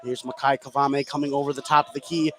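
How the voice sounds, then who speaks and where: A man calling live radio basketball play-by-play from courtside in a high school gym. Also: level tone, fast. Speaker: play-by-play sports commentator. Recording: clearly noisy gym ambience. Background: clear gym noise.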